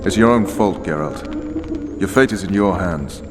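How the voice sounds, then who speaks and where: Deep-voiced, stern fantasy commander. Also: male voice, unhurried, noisy background. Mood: matter-of-fact. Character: hard-bitten male special forces commander, blunt and loyal. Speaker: interrogator and military commander. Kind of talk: cinematic fantasy video game acting.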